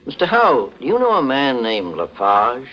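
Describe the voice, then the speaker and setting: An actor playing a calm, shrewd gentleman detective, questioning formally in the style of a 1940s radio mystery. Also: mid-range voice. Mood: probing, composed. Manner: measured, probing, formal questioning. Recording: muffled vintage 1940s radio recording.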